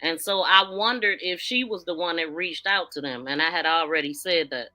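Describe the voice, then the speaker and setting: Casting: American woman, informal livestream host, chatty commentary, bright forward voice, faint music underneath. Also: on the low side, normal speed. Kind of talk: casual livestream commentary, reacting to played audio.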